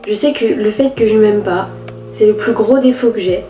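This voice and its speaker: A teenage girl with a head cold, talking nasally through a candid, halting confessional vlog. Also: mid-range voice, dark tone, roomy sound, faint music behind. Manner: halting, candid, many restarts and fillers. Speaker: vlogger.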